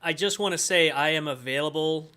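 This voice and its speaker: A fast, bright voice of medium pitch chats informally in an online discussion. The delivery is casual and jokey. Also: clean tone.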